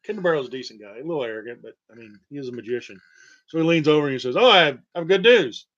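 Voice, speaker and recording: A man's voice: on the high side, lively intonation, a bit bright. Rambling wry man, muffled lo-fi sound. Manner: halting, digressive, wry, chuckling at grim details. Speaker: storyteller and host. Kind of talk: rambling personal monologue about own medical history.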